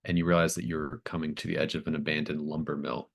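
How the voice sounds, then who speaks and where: A man working as game master, improvising horror scene descriptions in a halting, thoughtful way. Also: a bass voice, little pitch movement, vocal fry.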